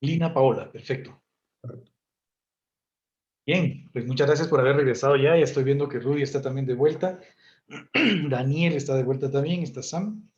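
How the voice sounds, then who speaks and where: Male facilitator, mid-pitched, courteous, many fillers, muffled call audio. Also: moderate pace, pauses often, darkish tone. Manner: polite, filler-heavy, directing participants. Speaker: meeting facilitator. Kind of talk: moderating an online consultation.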